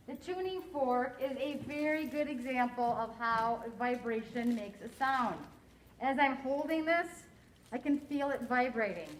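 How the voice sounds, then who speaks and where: A woman teaching a science lesson to a class, quizzing her students in a slow, deliberate way. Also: high-pitched, a little hiss or hum, rough recording.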